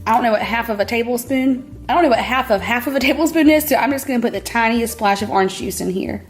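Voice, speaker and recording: A young woman with a cold-roughened, scratchy voice, chatting brightly through a home cooking tutorial. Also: a little high, fast talker, faint music behind. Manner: chatty, bubbly, peppered with "like". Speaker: cooking vlogger. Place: home kitchen.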